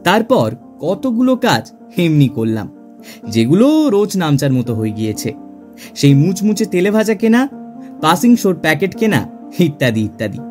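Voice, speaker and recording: A man playing a genial middle-class clerk who narrates his own tale in an expressive, theatrical audio drama style. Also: fairly high pitch, normal speed. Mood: warm storytelling. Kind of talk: dramatised first-person audio story narration. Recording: music under the voice.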